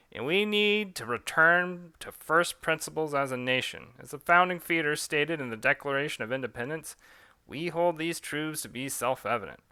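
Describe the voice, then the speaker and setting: A pompous vampire radio pundit, put on by a man, ranting loud and high above his own voice. The tone is bright and crisp, recorded dry and close. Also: dry recording. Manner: ranting, preachy broadcast delivery. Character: pompous male vampire talk-radio host.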